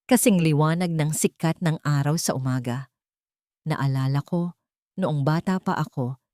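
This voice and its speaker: A woman reading a scripted first-person dramatic story in a measured, literary way. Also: low-pitched, darkish tone, no room sound, clean studio sound. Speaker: narrator of a fictional love drama.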